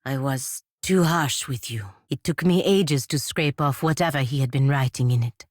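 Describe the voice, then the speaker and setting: A low female voice gives a proud, honor-bound fantasy game warrior her weight. She speaks formally and sternly in clipped phrases, with an embittered edge. The sound is very dry, free of room echo. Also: moderate pace, a little mellow. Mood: quiet, reflective. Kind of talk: fantasy video game character dialogue.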